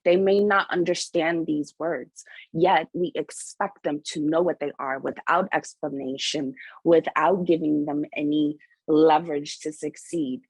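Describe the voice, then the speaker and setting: Low, husky woman, unhurried. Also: no room sound, low-quality recording. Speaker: picture book author on a panel. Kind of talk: panel discussion, candid opinion.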